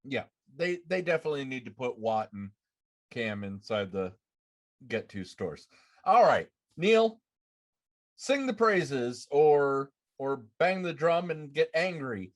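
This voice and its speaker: A man's voice: medium pitch, big pitch swings, slow. A man co-hosting a casual live gaming podcast from home on a video call, teasing and prompting with questions. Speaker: podcast co-host.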